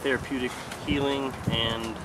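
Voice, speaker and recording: A young man in his twenties, a landscape architecture student, introducing the group hesitantly with many "um" pauses. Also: mid-range voice, level tone. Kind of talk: on-site interview. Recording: loud outdoor traffic noise.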